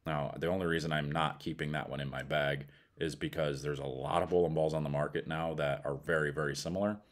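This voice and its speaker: A man's voice: very deep voice, fairly even intonation, a little fast. A middle-aged man with an American accent gives a casual product review in a blunt, colloquial way. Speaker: bowling ball reviewer.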